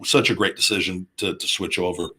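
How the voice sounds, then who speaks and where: Male host, 30s, lowish pitch, casual unscripted monologue, halting and rambling delivery. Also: sharp tone, a little hiss or hum. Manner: rambling, halting, with repeated restarts. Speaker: show host, former pro wrestler. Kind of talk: casual off-the-cuff monologue answering viewers' questions.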